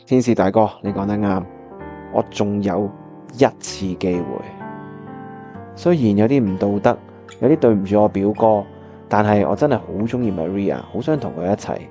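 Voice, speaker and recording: A man's voice: on the low side, normal speed, dark tone, natural voice. An actor with a Hong Kong Cantonese accent playing a lovestruck, scheming young man who narrates a radio drama in colloquial, earnest style over music. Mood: earnest, determined. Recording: dull lo-fi audio with music behind.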